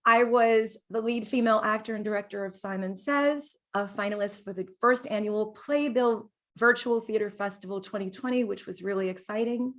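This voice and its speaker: Woman co-host with a mid-pitched voice. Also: fairly even intonation, normal speed, few pauses, a bit bright. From New York City. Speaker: co-host, actor and director. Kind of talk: introduction partly read from prepared biographies.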